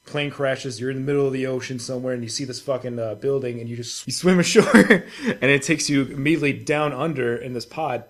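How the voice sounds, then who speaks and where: A young man co-hosting a podcast, chatting casually about video games in a gushing, profanity-heavy way. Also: mid-range voice. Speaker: podcast co-host.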